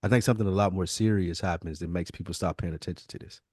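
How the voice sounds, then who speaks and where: A man talking as a podcast host in casual, slangy, profane and animated hip hop conversation. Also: low-pitched, quick pace, no room sound, clean studio sound.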